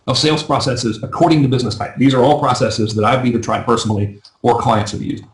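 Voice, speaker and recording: Brief: man running a live webinar, rapid chatty delivery, slightly hoarse, echoey room. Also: medium pitch, fairly even intonation. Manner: fast, chatty, self-deprecating, often asks "right?". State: losing his voice. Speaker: business coach teaching a live webinar. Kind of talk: casual live webinar teaching.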